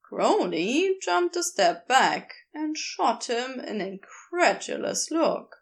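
A woman reading a story aloud as a narrator, in expressive scripted narration. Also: high-pitched, takes it slowly. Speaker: podfic narrator.

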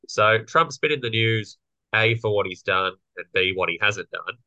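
Man, young adult, slightly croaky, low pitch, dry close recording, explanatory podcast co-host. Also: moderate pace, sharp tone, dry recording, lo-fi sound. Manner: explanatory, lawyerly. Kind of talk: casual political chat.

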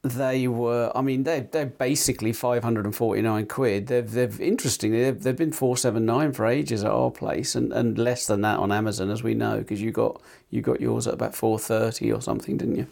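A man's voice: mid-range voice, steady tone, fairly quick, vocal fry, few pauses, darkish tone. A man co-hosting a casual tech podcast, rambling with many fillers and restarts while nursing a sore tongue. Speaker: podcast co-host.

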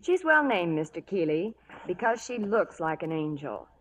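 A woman's voice: lowish voice, sing-song, moderate pace, a little hiss or hum, poor audio. A young American woman in scripted 1940s radio promotional chat, poised and polite. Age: young adult. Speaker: actress, interview guest.

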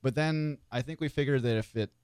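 A man's voice: medium pitch, a little fast, darkish tone, clear, resonant tone, dry recording. A young man who sings in a local band, chatting easily in an interview with lots of filler words and light jokes. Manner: easygoing, joking, with frequent fillers and laughs. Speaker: guest, singer in a cover band. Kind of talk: casual interview chat.

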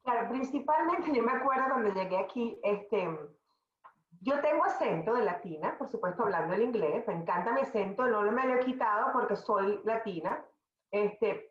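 A middle-aged Venezuelan woman, a podcast guest, telling her career story in a conversational, animated way with many restarts. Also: a little high, normal speed, echoey room, lo-fi sound. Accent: Venezuelan Spanish. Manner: animated, talks in restarts and asides.

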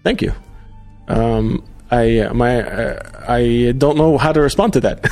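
A man hosting a live podcast, reading out viewer comments in a casual, halting way with many fillers. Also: medium pitch, creaky, darkish tone, soft background music. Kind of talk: casual livestream chat.

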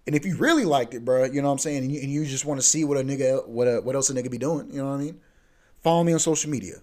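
A man's voice: mid-range voice, very fast, darkish tone. Laid-back slangy man in his twenties. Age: 20s. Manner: laid-back, slangy, rambling with many fillers. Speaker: podcast host. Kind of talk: casual solo podcast monologue.

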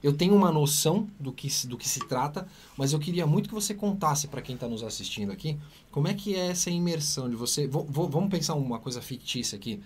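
A man hosting an interview podcast, asking questions in a relaxed, conversational way. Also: fairly high pitch, quick pace, few pauses, slight background noise. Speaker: podcast host.

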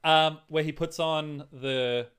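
Man, fairly high voice, excitable, fan-like enthusiasm, casual podcast chat. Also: slow pace, clean tone. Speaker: podcast co-host. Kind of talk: casual chat about films and games.

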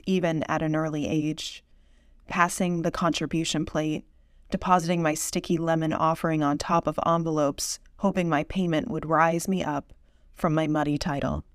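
A woman's voice: deep voice, no room sound, clean studio sound. A woman poet reading her own literary poems aloud.

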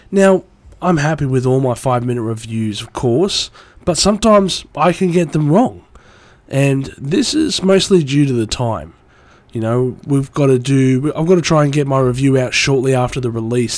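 A man's voice: medium pitch, darkish tone, no echo. A man with a cold hosting his own music review podcast, talking in an enthusiastic, rambling way. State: has a cold. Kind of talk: solo podcast commentary.

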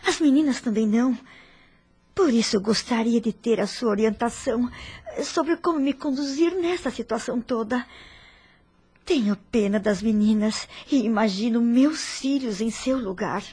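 A husky, wounded wife. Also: female voice, fairly high pitch, moderate pace, warm tone. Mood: earnest, worried. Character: hurt, dignified middle-aged wife and mother, earnest. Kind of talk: radio soap opera acting.

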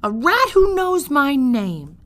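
Woman acting as gullible young servant girl, storybook character, loud, blunt, rustic wording, wildly swooping pitch. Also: very animated, takes it slowly, exaggerated voice. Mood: amazed, loud. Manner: rustic, uneducated phrasing, exclaiming loudly.

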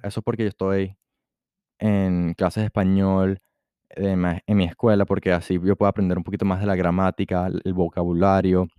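A man's voice: low voice, clean tone, no echo, narrow, tinny audio. A young man from Puerto Rico talking casually on his podcast in a rambling way, full of "like" and restarts, switching at times into Spanish. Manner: rambling, heavy use of filler "like", many restarts; switches into Spanish mid-talk. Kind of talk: casual personal monologue.

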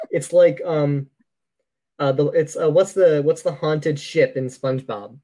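Man, slightly high voice, podcast co-host, casual movie commentary, wry joking asides. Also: takes it slowly, mellow.

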